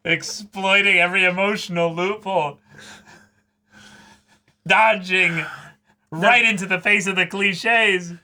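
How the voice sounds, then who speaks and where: A man's voice: sits high, fairly even intonation, slow, long pauses, sharp tone. A man co-hosting a casual reaction show, joking, exclaiming and swearing as he comments. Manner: profane.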